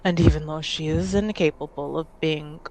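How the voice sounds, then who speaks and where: A woman playing a grieving grandchild who pleads earnestly and haltingly in a tabletop roleplaying game. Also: low-pitched, fairly even intonation, dark tone, slight background noise, rough recording. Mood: earnest pleading. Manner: slow, halting, earnest delivery. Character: Ade, sincere, grieving grandchild pleading with an estranged relative.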